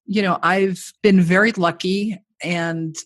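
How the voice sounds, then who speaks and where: Forties woman, podcast guest; warm-toned radio voice, conversational and self-deprecating, dry booth sound. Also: fairly low pitch, fairly even intonation, takes it slowly, dry recording. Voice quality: voice people call made for radio. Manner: chatty, self-deprecating, frequent "you know". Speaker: guest, course creator. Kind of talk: casual podcast interview.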